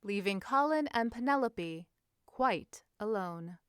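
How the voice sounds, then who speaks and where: A woman's voice: medium pitch, animated, takes it slowly, clean tone, dry recording, studio quality. A woman reading a story as a scripted narration. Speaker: fan fiction narrator.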